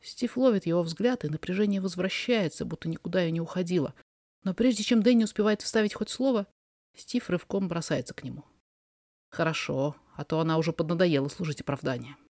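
A narrator reading a fiction story aloud in an expressive, dramatized way. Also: medium pitch, brisk, dry recording, clean studio sound. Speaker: podfic reader, narrator.

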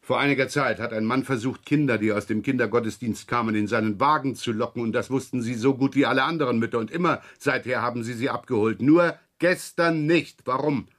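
A man's voice: mid-range voice, brisk, few pauses, a bit bright, no echo, clean studio sound, natural voice. A man acting a shrewd, rumpled, talkative provincial police inspector in a radio crime drama, probing and rambling with wry repetitions. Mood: pressing.

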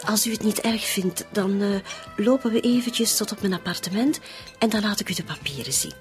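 A woman's voice: mid-range voice, a little fast, soft background music, close to an everyday voice. An actress playing a cunning, composed female decoy in a radio play, talking coaxingly. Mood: coaxing, sociable. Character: friendly, cunning hired female decoy, reluctant accomplice. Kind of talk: radio drama acting.

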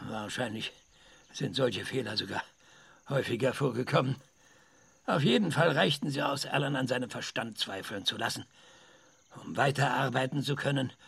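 Gravelly, husky old professor, swinging with passion. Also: male voice, mid-range voice, fairly bright tone, dry recording, full character voice. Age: older. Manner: lecturing, halting, stammering under strain. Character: frail, passionate old male science professor. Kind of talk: radio drama acting.

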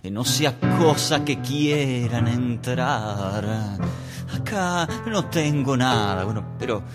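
A man's voice: medium pitch, expressive, takes it slowly, voice pushed down. A man playing an anxious older man afraid of robbers, hesitant and rambling, in a satirical musical radio sketch. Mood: fearful, defensive. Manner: hesitant, rambling, repeats tag questions. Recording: voice over loud music.

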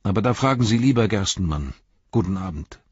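A man narrator plays a wary storybook gatekeeper, gruff and plain-spoken. His husky voice is raised a little above a low register, and he grumbles tersely. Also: lively intonation, fairly quick, no echo, dull audio. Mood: grim, guarded.

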